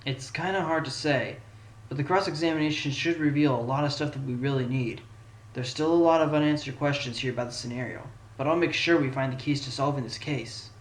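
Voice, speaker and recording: A man's voice: mid-range voice, brisk, close to an everyday voice. A man playing a confident, combative young defense lawyer in an audio drama, speaking with emphatic courtroom theatrics. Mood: determined. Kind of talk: video game style audio drama acting. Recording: roomy amateur recording under loud music.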